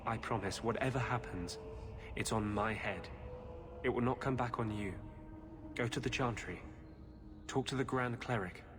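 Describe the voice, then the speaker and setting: An actor playing an intense, secretive rebel mage in a video game, speaking gravely and insistently. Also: male voice, mid-range voice, moderate pace, pauses often, music in the background, natural voice. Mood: fervent. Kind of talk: dramatic video game acting.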